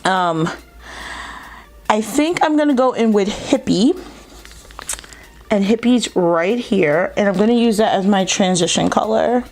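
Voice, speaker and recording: A woman's voice: mid-range voice, expressive, unhurried, pauses often, darkish tone, slight background noise. Chatty young woman with a slight lisp. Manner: chatty, rambling, lots of fillers. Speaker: beauty vlogger. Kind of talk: casual makeup tutorial chat.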